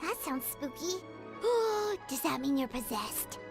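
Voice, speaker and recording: A woman voicing a small, childlike, nosy fairy companion in a video game with a squeaky, exclaiming delivery. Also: sing-song, slow pace, music in the background, full character voice. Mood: spooked. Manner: exclaiming, refers to herself in third person.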